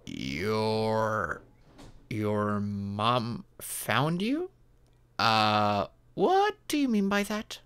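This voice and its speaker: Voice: medium pitch, big pitch swings, very slow, a bit bright, smooth, exaggerated voice. A voice actor playing an upset, caring live-in partner in an intimate audio roleplay, emotional and pleading. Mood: concerned, probing. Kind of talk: intimate ASMR roleplay voice acting, one side of dialogue.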